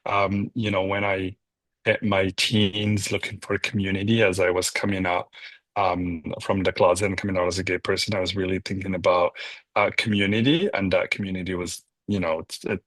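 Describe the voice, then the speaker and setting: A low-voiced man from Morocco answers interview questions as the founder of a nonprofit, reflective and unhurried. He often pauses on "um" and checks in with "right?". The sound is close and dry. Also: level tone, a bit bright, no echo.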